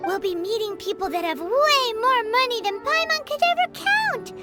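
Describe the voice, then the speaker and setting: A woman voicing a small, excitable, childlike female fairy companion in a video game, squeaky, naive and quick to take offence. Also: loud music behind the voice. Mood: excited. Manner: refers to self in third person, exclamatory. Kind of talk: video game cutscene voice acting.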